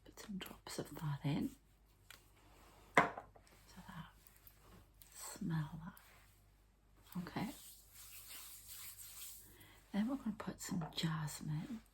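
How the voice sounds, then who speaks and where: A woman giving an ASMR energy healing session, softly and haltingly talking through each step as she goes. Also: sits low. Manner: soft, halting, murmured commentary on each step. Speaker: ASMR energy healer and channeler.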